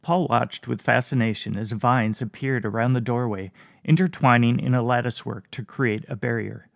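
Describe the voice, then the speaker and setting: A man reading a fantasy story aloud in a calm, measured audiobook narration. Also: medium pitch, gravelly, no room sound, studio quality.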